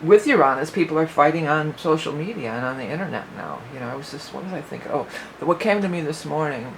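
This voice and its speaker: A woman's voice: low-pitched, lively intonation, quick pace, gravelly, a little hiss or hum, poor audio. A woman giving a casual spoken astrology forecast as a psychic reader, rambling and thinking aloud with many fillers, her voice a little worn.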